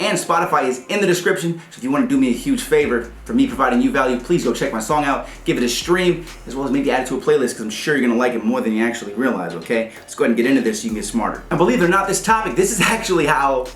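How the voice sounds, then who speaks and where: A man's voice: mid-range voice, lively intonation, fast talker, fairly bright tone, echoey room. A man hosting a music-business vlog, talking energetically and often checking in with "okay?". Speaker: YouTube host for aspiring rappers. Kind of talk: fast enthusiastic explainer vlog.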